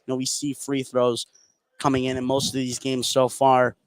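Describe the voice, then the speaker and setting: A man's voice: medium pitch, monotone, moderate pace. A man doing live play-by-play radio commentary on a basketball game, describing the action in short running phrases. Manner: clipped present-tense running description. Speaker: play-by-play sports announcer. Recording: live broadcast with clear background noise.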